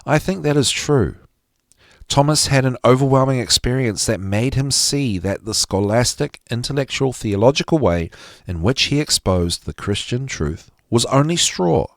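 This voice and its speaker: A man giving an expressive, emphatic reading of a psychology lecture, with deliberate phrasing that drops dramatically at the ends of phrases. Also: mid-range voice, no echo, studio quality. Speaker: podcast host reading aloud from a psychology text.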